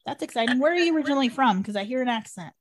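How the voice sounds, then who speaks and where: A woman's voice: high voice, expressive, rapid-fire. A woman hosting a podcast, reading a scripted introduction and then asking guests upbeat questions. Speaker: podcast host.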